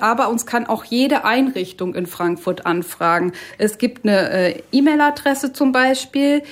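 A woman guest on a radio talk show, explaining her training as a climate guide, with many fillers and restarts. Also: fairly high pitch.

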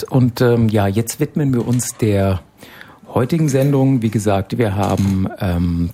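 A man hosting a relaxed radio magazine from a studio, chatty and full of fillers. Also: mid-range voice, slightly rough. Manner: chatty, hesitant with many fillers. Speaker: radio host.

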